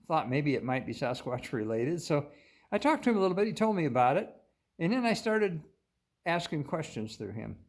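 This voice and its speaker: Higher-voiced older American man, rambling storyteller. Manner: folksy, meandering, pauses often mid-sentence. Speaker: host of a paranormal viewer-story channel. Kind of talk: casual rambling storytelling to viewers.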